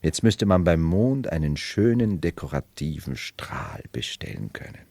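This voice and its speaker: Radio drama for a brooding, supposedly mad emperor: low male voice, mercurial theatrical delivery, dry close sound. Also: sing-song, measured pace, vocal fry, a little mellow, dry recording, heavily acted. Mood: musing, dreamy. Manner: theatrical, mercurial, dwelling on words then bursting out. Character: brooding nobleman feigning madness as a medieval emperor. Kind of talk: 1970s German radio play acting.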